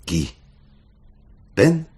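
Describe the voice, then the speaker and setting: An actor playing a loyal junior police detective in a French radio crime drama, questioning in a puzzled, matter-of-fact way. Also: male voice, drawn-out words, a little mellow.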